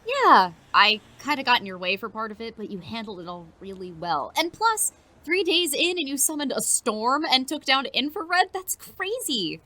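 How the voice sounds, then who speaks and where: Woman as a bright, animated teen best friend. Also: on the high side, normal speed, heavily acted. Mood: excited, sincere. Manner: animated, casual, affectionate teasing. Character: earnest, teasing teenage girl student reporter, loyal best friend. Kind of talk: naturalistic audio drama acting.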